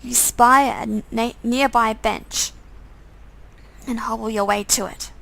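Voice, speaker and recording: A woman streamer narrates a visual novel aloud. Her voice is fairly high with a noticeable creak, and she reads the story in the second person. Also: steady tone, slow pace, a bit bright.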